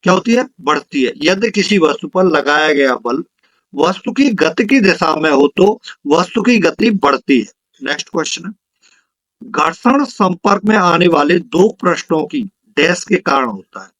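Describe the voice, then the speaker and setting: A man's voice: fairly high pitch, animated, normal speed, a bit bright, dry recording, low-quality recording. A man teaching an exam question-and-answer lesson, instructive, repeating questions and answers. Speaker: physics teacher for exam preparation.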